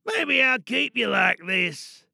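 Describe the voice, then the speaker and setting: A man acts out a gloating, lovestruck supervillain for an audio drama. The voice is high and piercingly bright, very close and dry. Every phrase is slow, theatrical and savored. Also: sing-song, vocal fry, dry recording, full character voice. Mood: taunting, obsessed. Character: flamboyant, gloating supervillain, secretly smitten with captive hero.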